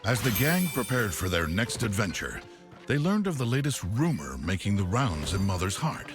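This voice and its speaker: A man's voice: fairly low pitch, sing-song, moderate pace, over music. An actor playing a wry, theatrical male narrator of a video game story, arch and playfully dramatic. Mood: mock-suspenseful. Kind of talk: scripted narration.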